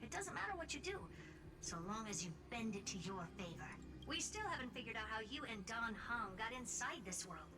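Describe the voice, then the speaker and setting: A woman voicing a cool, commanding female scientist in a video game, speaking in an assured, deliberate way. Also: a little high, sing-song. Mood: brisk, commanding. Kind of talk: scripted video game voice acting. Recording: music playing under the game dialogue.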